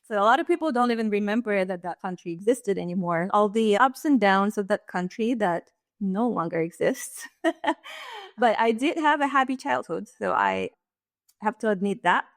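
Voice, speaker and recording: A woman's voice: medium pitch, very animated, moderate pace, dry recording, studio quality. Woman from Russia, thoughtful guest. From Russia and Kazakhstan. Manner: thoughtful, laughs lightly between points. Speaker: guest, leadership strategist. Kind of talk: interview conversation.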